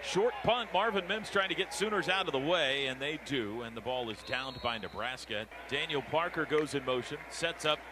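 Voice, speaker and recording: High-pitched American football radio announcer, loud stadium noise. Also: male voice, moderate pace, hardly any pauses. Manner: clipped running descriptions, building to shouts on big plays.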